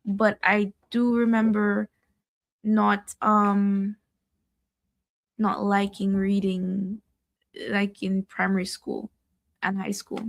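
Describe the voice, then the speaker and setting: A young woman from Dominica chatting with her mother, talking in a halting, hesitant way. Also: mid-range voice, flat delivery, no echo. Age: young adult. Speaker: guest, daughter. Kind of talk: casual family chat.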